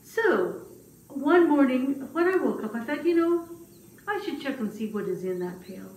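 A woman puts on a humble old man telling his story in a puppet show. The voice is rather high and very animated, halting and easily flustered. It sounds roomy and set back from the mic. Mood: genial, storytelling. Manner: hesitant, halting, with many pauses. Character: gentle old male grass cutter, humble and easily flustered. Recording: roomy, distant mic, faint noise.

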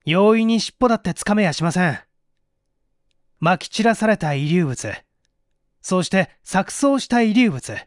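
A man's voice: sits high, expressive, measured pace, long pauses, no echo, studio quality, pitched above the performer's own voice. A man playing a cool, politely needling young man in a mystery story reading, articulate and pointed. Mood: pressing, ironic.

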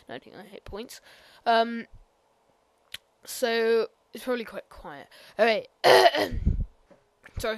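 A woman giving a casual, rambling game tour voiceover, hesitant and full of ums and apologies, sometimes bursting out loud. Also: medium pitch, a little hiss or hum. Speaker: gameplay commentator.

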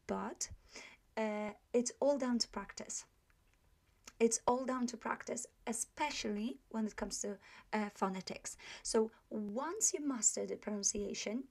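A woman with a Polish accent talks through an online language lesson in a middle-pitched voice. She speaks in a hesitant way, full of fillers and restarts, as she explains points over her slides. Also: a little mellow. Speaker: language teacher.

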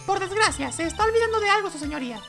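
A woman's voice: very high-pitched, animated, very fast, music in the background. A woman voicing a confident, encouraging female lawyer mentor in a courtroom video game.

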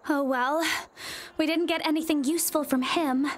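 A woman voicing a high-voiced anxious girl. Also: fairly even intonation, normal speed, lightly acted. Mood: worried. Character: anxious, doubtful young female companion. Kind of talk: video game voice acting.

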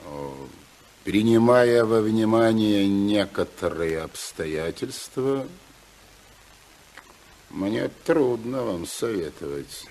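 An actor playing an evasive, insinuating informer with a hesitant, sly manner, in 1950s radio drama style. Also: male voice, fairly low pitch, lively intonation, slow pace, darkish tone. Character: evasive, insinuating male informer, falsely reluctant.

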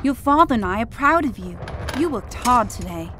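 An actress playing a gentle, doting nineteenth-century farm mother in a family audio drama, tender and affectionate. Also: fairly high pitch, very animated, moderate pace, noisy background. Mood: loving, playful. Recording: music behind the voice.

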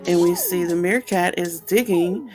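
A woman reading a children's picture book aloud as a storytime host, explaining things simply and deliberately for young listeners. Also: fairly low pitch, music in the background.

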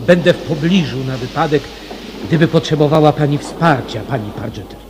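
An actor playing a cautious, protective helper in a radio mystery, giving measured advice. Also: male voice, fairly high pitch, noisy background, lightly acted. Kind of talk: radio mystery drama acting.

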